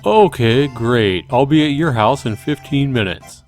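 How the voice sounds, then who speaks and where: A man's voice: medium pitch, expressive, unhurried, darkish tone, faint music behind, close to an everyday voice. A man voicing a brash, overfamiliar young male friend in a visual novel, upbeat and pushy. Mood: cheerful, pushy. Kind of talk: visual novel character reading.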